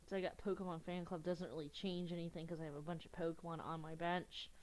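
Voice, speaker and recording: A young woman with a slightly low voice talks her way through an online card game match. She has a cold and sounds a bit sniffly. Her delivery is chatty and casual, full of small giggles and self-corrections. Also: little pitch movement, fast, a little mellow. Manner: chatty, giggly, restarts often. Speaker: gaming youtuber.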